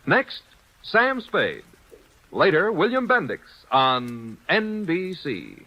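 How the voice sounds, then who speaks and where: Brief: male old-time radio announcer, bright and punchy, lively sing-song emphasis. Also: on the high side, takes it slowly, low-quality recording. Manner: crisp, emphatic, promotional. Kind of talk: 1940s network radio announcing.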